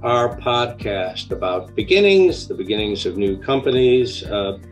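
Older man hosting, halting phrasing. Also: mid-range voice, lively intonation, muffled recording, soft background music. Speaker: podcast host. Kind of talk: conversational interview. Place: indoor home room, video call. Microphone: headset on a video call.

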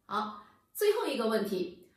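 A woman's voice: fairly high pitch, sing-song, measured pace, far from the mic. Woman explaining in a very echoey room. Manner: patient, explanatory, addresses viewers directly. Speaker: HVAC channel presenter. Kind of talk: instructional explainer. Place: plain indoor room against a bare wall.

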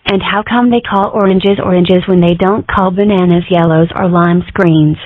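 A woman's voice: on the low side, fairly even intonation, normal speed, no echo, close to an everyday voice. A woman voicing a cheeky, contrary young girl fox in an amateur comic dub, delivering dry jokes matter-of-factly. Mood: earnest. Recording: muffled, phone-like narrow sound.

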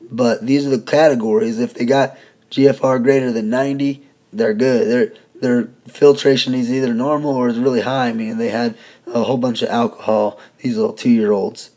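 Hoarse male teacher, folksy conversational explaining, mid pitch. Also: dark tone. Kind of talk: casual whiteboard lecture.

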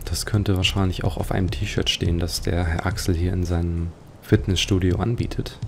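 Male let's player, low and gravelly, thinking aloud in relaxed, reflective game commentary. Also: moderate pace, slight background noise. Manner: musing, reflective. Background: game music.

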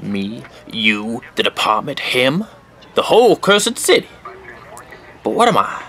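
A man's voice: medium pitch, very animated, a little slow. A man playing an angry, world-weary police officer, embittered and resolute, in a comic-book radio drama. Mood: bitter. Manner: forceful, embittered.

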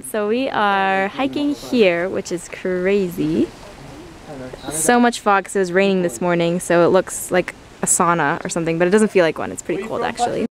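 A young woman chatting and laughing as she films herself on a foggy hike, talking to friends off camera. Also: mid-range voice. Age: young adult. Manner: chatty, giggly, self-narrating. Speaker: vlogger. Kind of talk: casual travel vlog. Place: outdoors on a foggy mountain hike, later a pub and car. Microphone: handheld camera held at arm's length.

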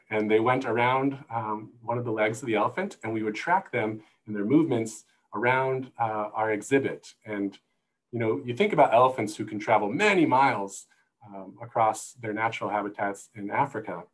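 A middle-aged man explaining things as a panel guest on a video call, in thoughtful, halting phrases, on an earbud mic. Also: fairly low pitch, poor audio. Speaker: guest, zoo conservation manager. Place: indoor room, video call. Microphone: wired earbuds headset.

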